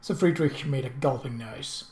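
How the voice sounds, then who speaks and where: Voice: medium pitch, normal speed, darkish tone. A narrator reading a period mystery novel aloud as a scripted audiobook. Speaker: audiobook reader.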